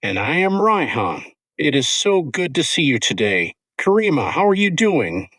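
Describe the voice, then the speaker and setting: A man co-hosting an English-learning podcast, speaking in clear, simple scripted sentences. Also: a little high, big pitch swings, normal speed, fairly bright tone, no room sound. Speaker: podcast co-host.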